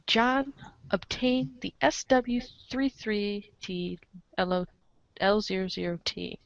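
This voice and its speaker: A woman's voice: medium pitch, slow, gravelly, no room sound. Playful woman narrator, dull close recording. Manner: playful, theatrical, breaks into asides and chuckles. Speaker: narrator of a comic read-along show. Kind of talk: animated dramatic reading with casual asides.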